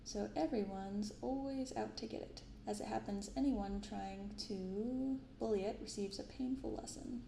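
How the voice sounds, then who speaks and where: Soft spoken asmr lady, lots of vocal fry, kinda echoey room, casual reading out loud. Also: medium pitch, normal speed, hardly any pauses. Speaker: host of a card-opening channel. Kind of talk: soft-spoken ASMR narration, reading card text aloud. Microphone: binaural microphone. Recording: roomy sound with some background noise.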